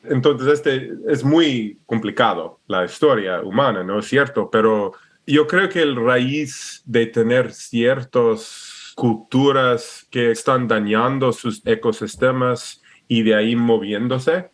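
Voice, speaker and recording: A man's voice: medium pitch, slow pace, hardly any pauses. A man from Alaska speaking Spanish with an American English accent, a guest in a casual interview who restarts often and hunts for words. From Alaska, USA. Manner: hesitant, searching for words with many restarts. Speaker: podcast guest.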